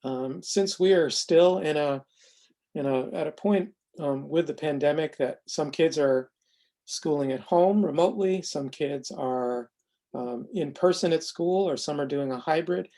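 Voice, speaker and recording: A mid-pitched presenter with a soft-edged, mellow voice narrates a tool demonstration. The delivery is measured and explanatory, with frequent pauses partway through sentences. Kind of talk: semi-scripted explanatory demo narration.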